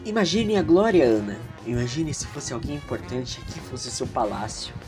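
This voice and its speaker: A man's voice: mid-range voice, expressive, moderate pace, music in the background, close to an everyday voice. A man with a Brazilian accent voicing an enigmatic, grandiose teenage schoolboy in an audio drama, theatrical and teasing. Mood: smug, teasing.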